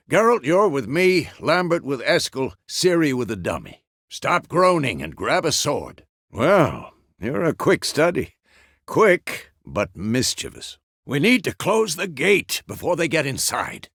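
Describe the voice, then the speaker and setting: An actor playing a gruff, stern but fatherly old monster hunter in a video game, with a gravelly voice and a terse, weary, commanding manner. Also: mid-range voice, a bit bright, no room sound, clean studio sound, exaggerated voice. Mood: dry, matter-of-fact, then stern, grumbling. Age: older. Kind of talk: dramatic game character dialogue.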